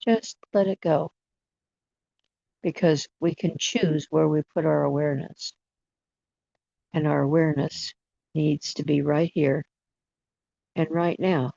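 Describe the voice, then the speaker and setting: A person leading a guided imagery meditation in a soothing manner, with long pauses between short phrases. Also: mid-range voice, steady tone, creaky, dark tone, dry recording. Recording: dull, dry lo-fi recording.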